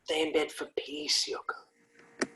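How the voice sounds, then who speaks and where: A man playing a needy, childlike rock-star husband, pestering in a hushed, wheedling, repetitive way in a comic script reading. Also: fairly high pitch, monotone, slow. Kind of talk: comic script read aloud.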